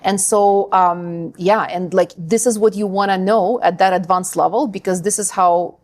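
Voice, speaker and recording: Woman, from Ukraine, podcast guest, conversational, filler-heavy, medium pitch. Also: fairly even intonation, normal speed, a little mellow. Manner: chatty, many fillers and "right?". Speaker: guest, language school founder. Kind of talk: podcast interview.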